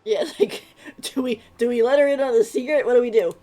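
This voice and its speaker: A woman's voice: a little high, a little fast, mellow. A woman streaming a video game, giving casual, informal and giggly live reactions. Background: faint game music.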